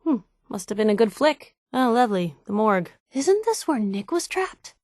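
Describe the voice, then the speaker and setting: A woman's voice: fairly high pitch, sing-song, moderate pace, a little mellow, dry recording, studio quality. An actress playing a nosy, wisecracking young woman newspaper reporter as a video game companion, wry and sarcastic in manner. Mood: wry, curious.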